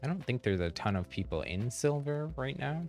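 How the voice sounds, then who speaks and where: A man's voice: mid-range voice, animated, moderate pace, a little mellow, soft background music. A man chatting casually on a game livestream in a rambling, easygoing way. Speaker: live streamer.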